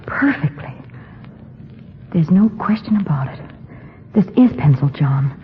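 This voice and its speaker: A woman's voice: sits low, animated, unhurried. Observant, uneasy lady sidekick, swooping dramatic delivery, thin phone-like vintage radio drama. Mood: nervous, intrigued. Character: nervous, observant young woman sidekick. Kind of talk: 1940s radio acting. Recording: phone-like, noisy 1940s radio recording.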